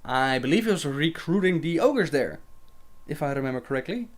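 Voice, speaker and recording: A man's voice: a little high, lively intonation, fairly quick. A young man hosting a casual livestream Q&A, reading viewer questions aloud and answering them chattily with fillers and laughs.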